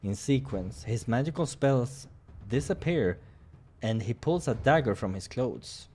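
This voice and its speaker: Slow-paced man hosting a game playthrough. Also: medium pitch, lively intonation, a little mellow, slight background noise. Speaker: gaming playthrough host. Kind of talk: dramatic narration and casual playthrough commentary.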